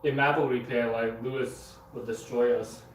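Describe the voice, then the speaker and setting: A man's voice: medium pitch, fairly even intonation, moderate pace, distant mic. A laid-back man trades dry remarks on a live stream and swears casually. He sits away from the mic. His voice echoes around the room over faint background noise.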